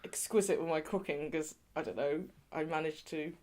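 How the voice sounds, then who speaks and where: A woman's voice: low voice, moderate pace, a little mellow. A woman from England being interviewed, talking in a chatty way with lots of "you know" and light laughs. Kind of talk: oral history interview.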